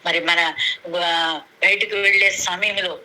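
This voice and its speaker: A woman's voice: on the low side, steady tone, slow pace, bright, forceful tone. A woman preaching earnestly to a prayer meeting over a conference call, repeating phrases for emphasis. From Andhra Pradesh, India. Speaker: lay preacher. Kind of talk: sermon, retelling scripture to a prayer meeting. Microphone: conference call phone. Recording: noisy conference call recording.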